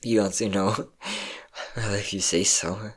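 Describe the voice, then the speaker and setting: Performer as fragile young best friend for intimate audio drama: low register, depressive, insecure, halting, emotionally open. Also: steady tone, husky. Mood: soft, affectionate. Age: young adult.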